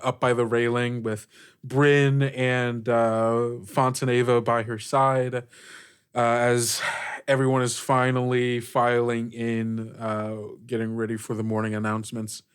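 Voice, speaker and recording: A man improvising narration as game master of a tabletop game, informal and searching for his words in a halting way. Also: mid-range voice, flat delivery, clean tone.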